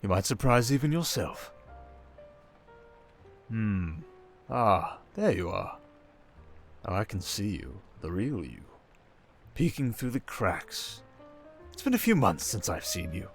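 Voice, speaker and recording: A man's voice: lowish voice, sing-song, heavily acted. Guy doing a manipulative villain mastermind for asmr, smug coaxing talk, really swoopy delivery, music behind him. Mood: probing, smug. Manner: theatrical, coaxing, condescending, with drawn-out pauses and whispers. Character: suave, manipulative criminal mastermind villain, adult man. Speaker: ASMR roleplay performer. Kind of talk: intimate ASMR villain roleplay monologue.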